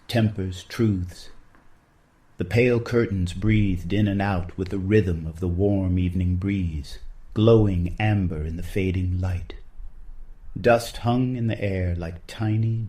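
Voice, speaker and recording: A man narrating a scripted literary story in a measured, solemn manner. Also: deep voice, warm tone.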